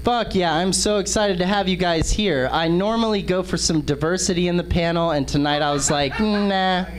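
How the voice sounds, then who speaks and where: A man from Texas with an American accent hosts a comedy panel show in casual, profane, excitable banter. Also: sits high, moderate pace, low-quality recording. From Texas, United States. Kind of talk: casual comic political chat.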